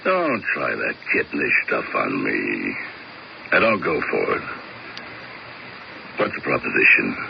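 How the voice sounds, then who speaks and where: An actor playing a terse, blunt, unimpressed hired tough in a radio drama, clipped and dry. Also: male voice, extremely low pitch, huge pitch swings, measured pace, vocal fry, long pauses, exaggerated voice. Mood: impatient, blunt. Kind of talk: old-time radio drama acting. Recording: muffled old radio, faint noise.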